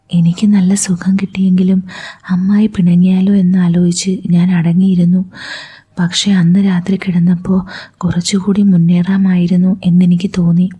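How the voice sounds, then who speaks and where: Whispering woman, dark and husky. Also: fairly low pitch. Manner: breathy whisper throughout. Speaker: story narrator. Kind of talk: whispered first-person story reading.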